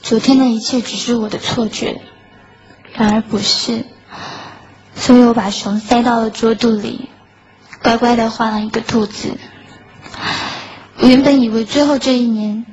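A woman's voice: a little high, fairly even intonation, moderate pace, pauses often. A woman playing a lovelorn schoolgirl in a radio drama, narrating her heartbreak in a wistful, earnest way. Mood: sorrowful. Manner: wistful, earnest, softly self-effacing. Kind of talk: Chinese radio drama acting with inner monologue. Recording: dull lo-fi audio under clear music.

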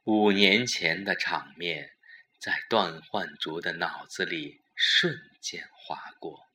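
A man narrating a scripted audio drama with measured, suspenseful phrasing and a dramatic delivery. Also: low-pitched, bright, forceful tone.

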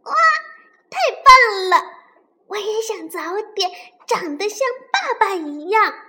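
A woman voicing a trusting, eager little baby dinosaur in a storybook reading, high and childlike. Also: expressive, slow pace, pushed and bright, full character voice. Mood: awed. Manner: innocent and cheerful, wide-eyed. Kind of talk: storybook read-aloud.

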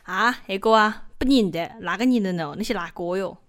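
A woman's voice: mid-range voice, brisk, fairly bright tone, close to an everyday voice. A woman acting a guarded, naive young female student in a Chinese audio drama, speaking with a regional dialect accent. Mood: dismissive. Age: young adult.

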